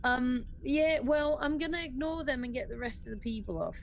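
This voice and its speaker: A woman's voice: high voice, moderate pace. A woman chatting casually and informally as a player in a tabletop role-playing game. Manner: informal, conversational. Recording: clear background noise.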